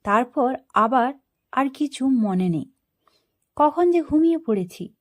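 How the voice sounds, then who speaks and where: A woman storyteller narrating a first-person story in an expressive, dramatic way. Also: high voice, slow pace.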